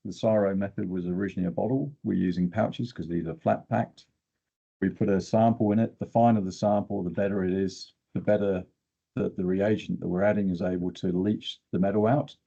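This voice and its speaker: Very deep, gravelly older man, slightly dull audio. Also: steady tone, a little fast, mellow. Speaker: guest expert in exploration geochemistry. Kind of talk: technical interview explanation.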